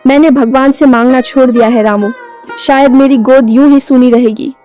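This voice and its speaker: A woman's voice: sits high, steady tone, moderate pace, warm tone, soft background music, lightly acted. A woman playing a poor, devout village wife in a moral cartoon story, earnest and pleading as she longs for a child. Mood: weary sorrow.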